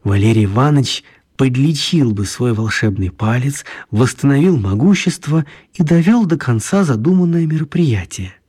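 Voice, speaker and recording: A man narrates a children's radio play in a calm, even storytelling manner. His voice is husky and sits at an ordinary male pitch. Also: normal speed, hardly any pauses.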